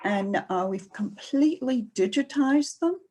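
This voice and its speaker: A middle-aged woman librarian giving a presentation, speaking slowly with many pauses and fillers. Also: high voice, animated, dark tone. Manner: slow, hesitant with many fillers. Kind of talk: presentation talk.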